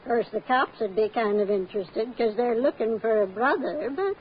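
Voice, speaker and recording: A woman's voice: fairly high pitch, expressive, moderate pace, husky. An actress playing a brassy, grasping rooming house landlady with sly, slangy talk in an old radio drama. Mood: sly, mercenary. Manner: sly, slangy, uneducated grammar. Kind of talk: old-time radio acting. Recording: muffled, noisy old radio recording.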